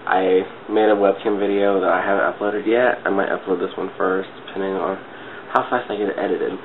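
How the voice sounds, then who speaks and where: Stuffy-nosed, low-voiced man on muffled audio. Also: fairly even intonation, a little fast. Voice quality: stuffy, congested nose. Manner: informal, giggly, loosely rambling. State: has a cold. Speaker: makeup tutorial vlogger. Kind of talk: casual chatty makeup tutorial. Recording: muffled phone-like webcam-style audio, clear noise.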